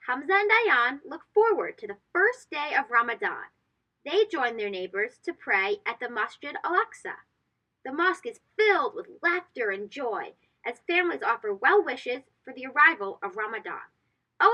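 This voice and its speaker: Very animated, high-voiced woman reading a storybook. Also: muffled recording. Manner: expressive, sing-song, emphatic delivery. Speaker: children's read-aloud host. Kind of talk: animated storybook read-aloud for young children.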